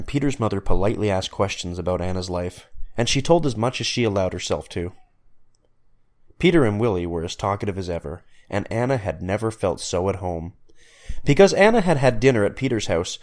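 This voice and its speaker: A man's voice: fairly low pitch, a little mellow. A man reading scripted story narration as the narrator.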